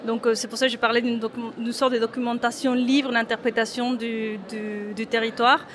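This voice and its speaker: Femme mexicaine hésitante, voix assez aiguë. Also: female voice, a little high, little pitch movement, brisk, fairly bright tone, slight background noise. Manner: hesitant, many fillers and restarts. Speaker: photographer. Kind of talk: interview at an exhibition opening.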